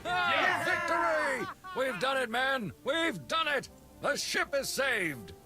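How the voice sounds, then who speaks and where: An actor playing a gung-ho military commander with a rousing, martial delivery in a sci-fi video game. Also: male voice, very high voice, sing-song, slow pace, heavily acted. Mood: triumphant. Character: gung-ho male military commander rallying his troops. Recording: music behind the voice.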